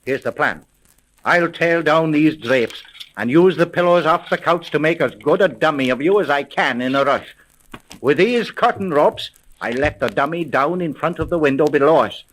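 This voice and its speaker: An actor playing a brusque, wary American police sergeant in a 1930s radio detective drama, with clipped, slangy, commanding speech. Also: male voice, on the high side, animated, moderate pace, a bit bright, a little hiss or hum, rough recording. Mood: urgent, commanding.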